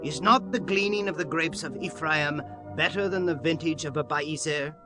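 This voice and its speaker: An actor playing a stern, commanding war leader in a dramatized scripture reading, with declamatory, archaic speech. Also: male voice, a little high, moderate pace, music in the background, natural voice. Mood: placating.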